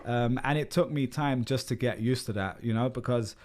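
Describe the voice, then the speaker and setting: A man's voice: medium pitch, moderate pace, a little mellow. Male guest, 30s, London accent, open and rambling, filler-heavy conversational delivery. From London, England. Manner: candid, rambling, frequent "like" and "um" fillers. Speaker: podcast guest. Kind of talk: casual interview conversation.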